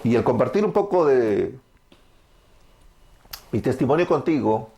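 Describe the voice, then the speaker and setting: A man's voice: mid-range voice, big pitch swings, a little slow, gravelly, dark tone, muffled recording. A man in his thirties from El Salvador, with a Salvadoran accent, preaching his testimony fervently and answering his own questions. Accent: Salvadoran Spanish. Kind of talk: evangelical testimony sermon.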